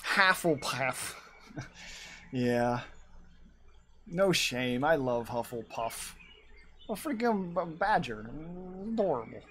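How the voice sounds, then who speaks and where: A man's voice: medium pitch, lively intonation, slow pace, pauses often, pushed and bright, a little hiss or hum. A young man in his twenties chatting and rambling on a live art stream as he draws, laughing often. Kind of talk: casual chat while drawing.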